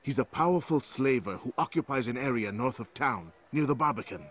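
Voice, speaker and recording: An actor playing a grave, courteous informant in a video game, speaking in a measured, confiding way. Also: male voice, mid-range voice, animated, slight background noise, natural voice. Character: grave, courteous male informant reporting quietly. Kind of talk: video game cutscene acting.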